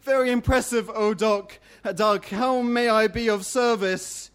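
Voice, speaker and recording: Storybook hero, male reader: nervous, courteous bat sorcerer, high voice, unhurried, earnest and apologetic but holding firm. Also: steady tone, a bit bright, clean tone. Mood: deferential. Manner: apologetic, earnest rhymed speech. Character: timid, polite bat magician, quietly firm.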